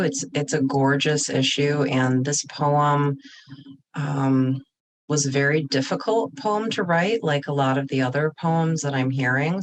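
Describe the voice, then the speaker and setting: A woman poet introducing and reading her own work in a deliberate, solemn manner. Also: sits low, a little hiss or hum, low-quality recording. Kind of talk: poetry reading.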